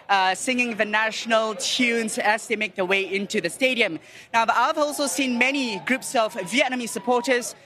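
A man's voice: extremely high pitch, a little hiss or hum. A young man giving a live news report outdoors outside a stadium, speaking into a handheld mic and raising his voice over the crowd. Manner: energetic, raises voice over crowd. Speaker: field reporter.

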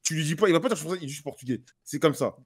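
A young man hosts a casual live stream from a small room, speaking into a headset. His voice sits high and he talks fast, full of animated street slang. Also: slightly rough. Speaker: show host.

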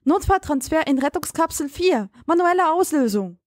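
Sci-fi audio drama commander, played by a woman: resolute, irritable female, high-pitched, clipped delivery, dry studio sound. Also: lively intonation, moderate pace, no room sound. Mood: urgent, commanding. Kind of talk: scripted German audio drama acting.

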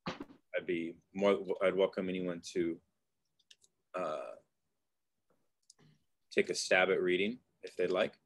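A low-voiced man hosts an online reading group in a relaxed, friendly manner. He talks casually and loosely over a video call. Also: takes it slowly, pauses often. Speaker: study group host. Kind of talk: casual chat leading a study group.

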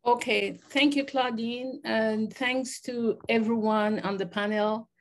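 A woman's voice: on the high side, steady tone, slow pace. Jamaican woman on a video call. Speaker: panelist. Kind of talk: reflective personal account on a panel. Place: home room, indoors. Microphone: laptop webcam video call.

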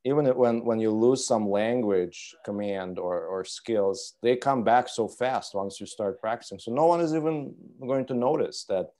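Mellow-voiced male host, non-native English speaker. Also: lowish voice, normal speed, few pauses. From outside Canada. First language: not English. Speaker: interview host. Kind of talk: conversational interviewing. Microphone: video call.

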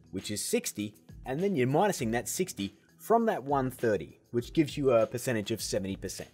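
A man hosting a software tutorial, explaining steps in a casual, upbeat way. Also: mid-range voice, breathy edge. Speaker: video tutorial host.

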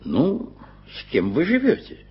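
A fussy, flustered gentleman whose voice swings wildly up and down. He grumbles and fusses, heard on a dull, muffled radio recording. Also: fairly high pitch, wildly swooping pitch, measured pace. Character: Peter Hope, flustered old London editor. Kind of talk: 1980s studio radio play acting.

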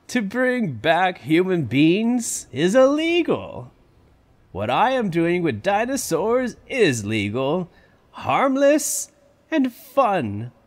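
A man's voice: high voice, huge pitch swings, extremely slow, full character voice. A man playing a proud, chuckling old park founder. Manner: boastful, chuckling. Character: elderly male park founder, proud and jovial. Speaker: voice actor. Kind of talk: fan audio drama acting.

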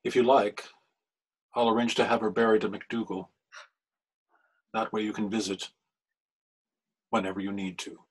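A solemn, forgiving older husband in a period radio mystery, played by a man. The voice is fairly low and rough-edged, with gentle, measured delivery. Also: level tone. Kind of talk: period radio drama acting. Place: room at home, video call.

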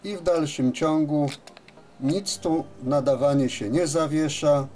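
A man gives an unscripted workshop demonstration as a repair technician, explaining step by step with hesitant pauses. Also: on the high side, mellow, a little hiss or hum. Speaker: radio repair technician presenting his work. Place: radio service workshop, indoors at a bench.

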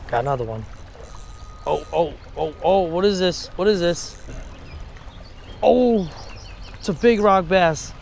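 Slow-paced man, slangy outdoor fishing vlogger. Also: on the high side. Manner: slangy, exclamatory. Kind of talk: casual excited chat to camera while fishing. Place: outdoors beside a shallow river. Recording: outdoor action camera with background noise.

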